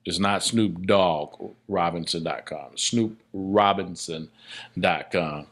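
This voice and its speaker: Male podcast guest, low-pitched voice, conversational and emphatic, circles back over his phrases. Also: slow pace, a bit bright. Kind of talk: casual interview conversation.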